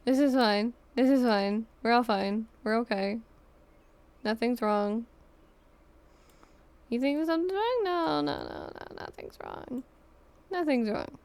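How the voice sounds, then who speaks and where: Female game streamer, highish and unhurried, halting casual chat with vocal fry. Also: darkish tone. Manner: halting, rambling self-talk. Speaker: livestreamer.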